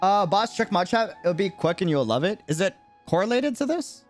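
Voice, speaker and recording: A man's voice: sits high, sing-song, moderate pace, soft background music. A man giving casual livestream commentary on a game, talking earnestly and conversationally, explaining things by example.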